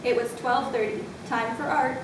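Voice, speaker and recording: A woman's voice: fairly even intonation, normal speed. A woman reading scripted story narration as a narrator in amateur readers' theatre. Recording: distant, very echoey room, noisy with rumble.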